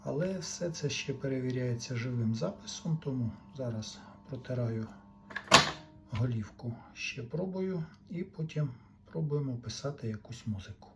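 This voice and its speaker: A man's voice: medium pitch, lively intonation, normal speed, noisy background. A man giving an unscripted, matter-of-fact technical demonstration, talking in short fragments as he works. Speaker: hobbyist repair technician presenting a demonstration.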